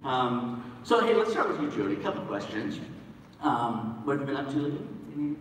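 Lively man hosting from far off in an echoey hall. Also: medium pitch, a little fast, slight background noise. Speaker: panel host. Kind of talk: casual stage interview. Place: large convention hall stage, recorded from the audience. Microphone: distant camera in the audience.

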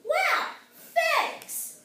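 Little plucky goat kid played by a woman, extremely high voice, children's play, echoing distant pickup. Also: moderate pace, noisy background. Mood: polite, pleading. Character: small, skinny, hungry, fearless young billy goat. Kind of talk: children's fairy-tale play. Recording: echoey room, far from mic.

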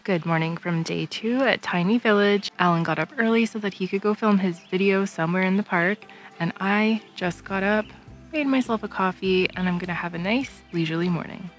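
Female travel vlogger, thirties, casual narration with soft music underneath. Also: medium pitch, fairly quick.

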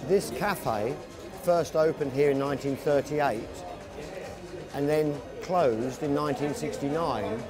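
A middle-aged man talking about his cafe in a seated documentary interview, reflective and unhurried, recorded indoors in a cafe. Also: fairly high pitch.

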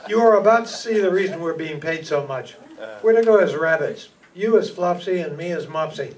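A man's voice: on the high side, expressive, fairly quick, natural voice. An older man playing a cocky, theatrical would-be detective in an amateur radio play reading. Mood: smooth. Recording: distant mic, faint room noise.

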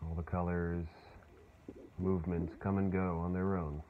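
A man leading a guided meditation in a soothing, unhurried way, leaving long pauses. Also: low-pitched, little pitch movement. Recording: muffled phone-like sound with clear background noise.

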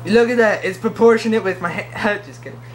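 A man's voice: high voice, brisk, a little hiss or hum. A teenage boy hosting a casual vlog from home, joking and rambling with friends. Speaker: vlog host. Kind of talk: casual chat.

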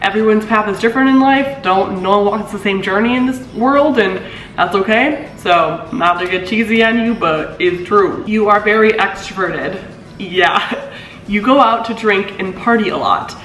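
A woman in her twenties, a vlogger chatting casually as she reads out comments, with a deeper voice for a woman, laughing often and swooping up in pitch. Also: moderate pace, a bit bright. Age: 20s. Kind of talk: casual chat reading comments. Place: living room of an apartment. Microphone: camera mic at a few feet. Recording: echoey room.

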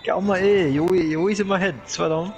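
A man's voice: sits high, steady tone, fast, creaky, darkish tone, highs cut off. Guy swearing down to his mates, music behind. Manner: swears, says "swear down" often. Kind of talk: casual banter while gaming.

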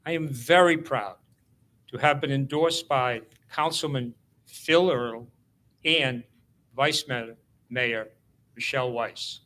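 A man's voice: mid-range voice, slow pace, pauses often, a bit bright. An older man delivering a prepared campaign speech as a candidate for city council.

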